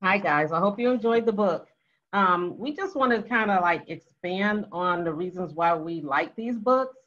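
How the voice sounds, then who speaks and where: A woman's voice: mid-range voice, animated, normal speed, a bit bright. An older woman co-hosting, explaining things to a group in a casual, conversational way with emphatic repetition. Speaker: co-host. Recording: dull, lo-fi online call audio.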